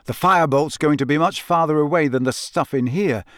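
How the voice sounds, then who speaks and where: A man's voice: on the high side, brisk, dry recording, studio quality, voice pushed up, natural voice. Man playing a nervous teenage wizard boy. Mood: wry, worried.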